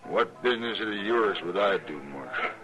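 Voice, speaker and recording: Western businessman heavy: lowish voice, slippery, defensive, old radio sound with music. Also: animated, normal speed, poor audio. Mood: defensive, indignant. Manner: guarded, indignant. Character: evasive, smooth frontier freight boss, grown man.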